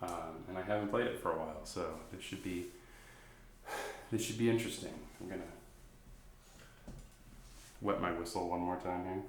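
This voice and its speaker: A man, a singer-songwriter with a stuffy nose, chatting softly and self-deprecatingly between songs in a home guest room. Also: sits low, fairly even intonation, normal speed, long pauses, a little hiss or hum. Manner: rambling, self-deprecating, soft-spoken. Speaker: singer-songwriter performing live. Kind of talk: casual between-song chat. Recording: roomy echo.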